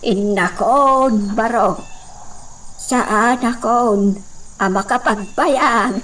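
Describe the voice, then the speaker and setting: Actress as a high-pitched, slow-talking motherly woman. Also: a bit bright, heavily acted. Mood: solemn, caring. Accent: Ilocano. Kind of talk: Ilocano radio drama acting. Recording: distant mic, noisy outdoor-insect ambience.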